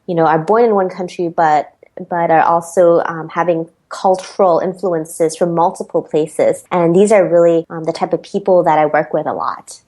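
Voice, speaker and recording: A woman's voice: lowish voice, fairly even intonation, moderate pace, darkish tone. A woman with an American accent, a performance coach, giving reflective interview answers with frequent ums.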